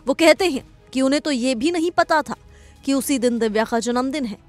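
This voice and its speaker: A woman's voice: high-pitched, a little fast. A woman presenting a scripted entertainment news report as a narrator. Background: background music.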